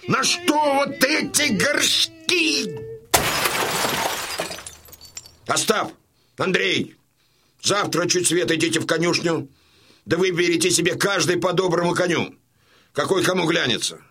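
An actor playing a gruff, imperious old Cossack colonel and father in an audio drama, with a husky voice and a bluff, emphatic delivery. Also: high voice, expressive, a little slow, sharp tone, exaggerated voice. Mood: fiery, impatient. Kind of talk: Russian audio drama acting.

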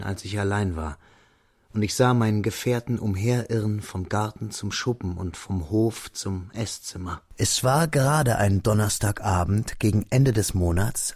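Low-voiced male radio drama narrator. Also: darkish tone, slightly rough, no room sound, studio quality.

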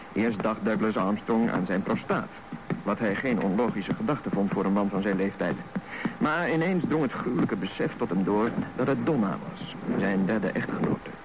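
A man's voice: mid-range voice, lightly acted. A man narrating a scripted radio drama as storyteller. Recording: noisy radio broadcast recording.

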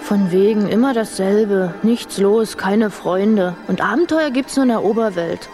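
An actress playing a cheeky, adventurous young mouse princess in a children's radio play, brash, eager and teasing. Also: female voice, mid-range voice, measured pace, music in the background, natural voice. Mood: bored, eager.

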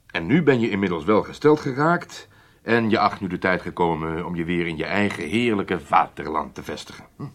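An actor playing a commanding, matter-of-fact intelligence chief in a radio drama, speaking in a deliberate, explanatory way. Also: male voice, low voice, fairly bright tone. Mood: businesslike. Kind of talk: Dutch radio drama acting.

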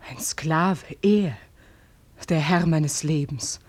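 A woman's voice: sits low, takes it slowly. A woman playing a proud young daughter devoted to her lover in a radio play, earnest and dramatic. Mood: yearning.

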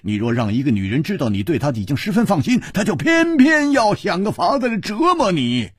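Storybook wuxia hero played by a male reader: loud, hearty, teasing, voice jumping high and swooping. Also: sing-song, moderate pace, breathy edge, no room sound, clean studio sound. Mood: loud, triumphant. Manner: exclaiming, stammering through laughter. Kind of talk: Chinese wuxia storybook character voice.

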